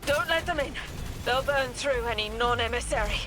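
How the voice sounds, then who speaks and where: A woman's voice: on the high side, normal speed, music in the background, lightly acted. A woman voicing a haunted mystic seer in a game, fraught and cryptic, speaking in clipped poetic phrases. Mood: tense, resolute. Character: haunted, intense mystic seer fighting off possession. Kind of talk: scripted game cutscene dialogue.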